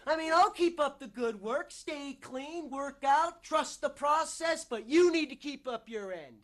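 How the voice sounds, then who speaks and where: A man performing a stage monologue as a desperate, newly clean struggling actor, halting and self-interrupting as he pleads and bargains. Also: on the high side, lively intonation. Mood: pleading, agitated. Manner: halting, self-interrupting, swinging between hushed confession and outburst.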